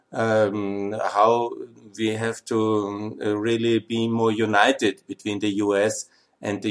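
Low-voiced Austrian man, halting English. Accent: Austrian German. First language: German. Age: 50s. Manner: earnest, many uhs and ums, non-native phrasing. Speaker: podcast host. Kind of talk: solo podcast introduction.

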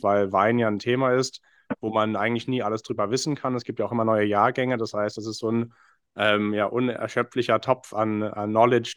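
A man's voice: on the low side, a bit bright, no echo, studio quality. A man in his thirties from England, a podcast guest explaining his business in a friendly way with many fillers. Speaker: podcast guest, wine investment founder. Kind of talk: casual interview talk.

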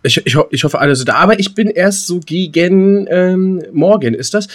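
A man's voice: high-pitched, expressive, normal speed. A man in his thirties from Berlin, co-hosting a podcast with playful, colloquial banter. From Berlin, Germany. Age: 30s. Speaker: podcast co-host. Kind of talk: casual banter chat.

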